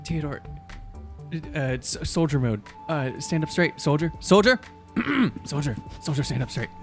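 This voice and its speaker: A man playing a tabletop role-playing game, joking casually in a halting way with stammered repeats. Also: on the high side, very animated, faint music behind. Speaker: player in tabletop game podcast.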